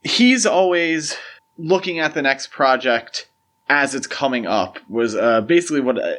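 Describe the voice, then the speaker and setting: A man's voice: mid-range voice, expressive, a little slow, fairly bright tone. A man co-hosting a podcast, discussing film casually in an analytical, explanatory way. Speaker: podcast co-host.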